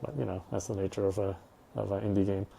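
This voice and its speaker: A man reading a horror visual novel aloud in an expressive, first-person storytelling manner. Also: deep voice, fast, gravelly, slight background noise. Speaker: let's-player reading a game aloud. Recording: game music behind the voice. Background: game music.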